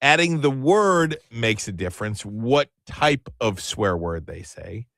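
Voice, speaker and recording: A man's voice: medium pitch, big pitch swings, slow, creaky, fairly bright tone, dry recording, studio quality. A man hosting an afternoon radio talk show, chatty and self-deprecating. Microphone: studio microphone.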